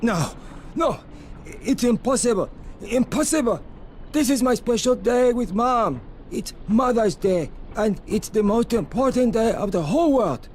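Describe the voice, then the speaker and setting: A man's voice: extremely high pitch, expressive, unhurried, darkish tone, a little hiss or hum. An actor playing a childlike, stubborn grown man devoted to his mother, who repeats himself in simple phrases. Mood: stubborn, earnest. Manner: repetitive, sing-song rhyming, simple childlike phrasing.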